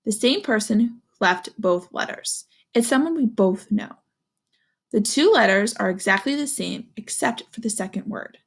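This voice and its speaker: A woman voicing a sensible, puzzled young girl in an expressive children's storybook read-aloud. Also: medium pitch, normal speed, creaky, slightly rough. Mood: thoughtful, organizing. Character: young girl, sensible, puzzled dog owner working out mysteries.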